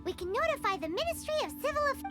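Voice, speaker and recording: A woman voicing a tiny, bossy, excitable fairy companion girl in a video game, with a squeaky childlike voice. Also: rapid-fire. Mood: smug. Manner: speaks of herself in third person, exclamatory. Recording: music behind the voice. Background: game music.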